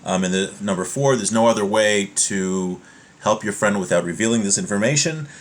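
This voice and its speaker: A man giving an informal religious lesson, explaining step by step in a halting way and rephrasing his points as he goes. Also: lowish voice. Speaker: religious teacher giving a class.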